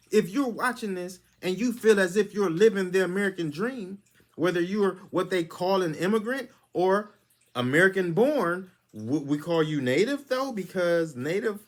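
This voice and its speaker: A man hosting his own channel, giving a lecturing monologue that is full of rhetorical questions. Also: high voice. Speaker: channel host. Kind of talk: preachy monologue commentary.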